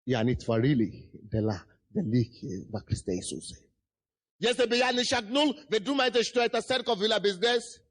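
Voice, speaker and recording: A man preaching a passionate sermon in church, speaking Russian with a non-native accent and often shouting. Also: high voice, sing-song, moderate pace. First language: not Russian. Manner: impassioned preaching, shouting and chanting. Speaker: pastor.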